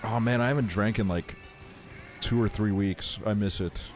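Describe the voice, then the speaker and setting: Low-voiced man streaming, music behind. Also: moderate pace. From Estonia. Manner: slangy, frequent swearing. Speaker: livestreamer. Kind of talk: casual chat while streaming a video game.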